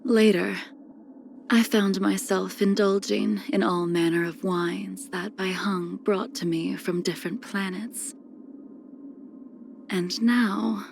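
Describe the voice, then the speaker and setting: An ageless, melancholy swordswoman from a video game. She speaks slowly and formally in a mid-pitched voice with a creaky edge. Her phrases are cold and solemn, often sinking into a breathy near-whisper. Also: slight background noise. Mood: musing, coolly amused. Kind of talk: scripted video game character lines.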